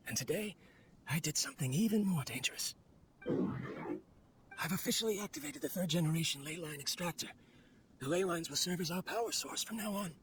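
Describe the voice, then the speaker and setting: An actor as a weary, higher-voiced lonely researcher. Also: male voice, a bit bright, slight background noise, lightly acted. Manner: wistful, solemn, brooding. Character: weary, lonely male researcher obsessed with his machine creation. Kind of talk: dramatic video game monologue.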